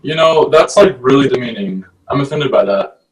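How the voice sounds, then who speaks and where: A man's voice: mid-range voice, animated, normal speed, distant mic, natural voice. A man playing a laid-back, sarcastic sixteen-year-old merman in a casual, teasing way at a screenplay table read. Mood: mildly offended. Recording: echoey, off-mic, faint noise.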